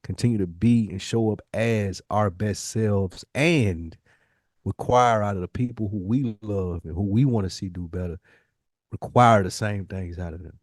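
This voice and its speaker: A man's voice: lowish voice, normal speed, dry recording, clean studio sound. A man co-hosting a podcast in casual chat, with an emphatic, informal way of talking. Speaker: podcast co-host.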